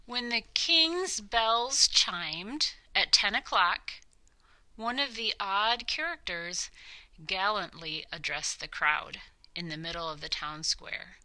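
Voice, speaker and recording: A woman narrating a children's story from a script, with dramatic, deliberate phrasing. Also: medium pitch, lively intonation, sharp tone, low-quality recording.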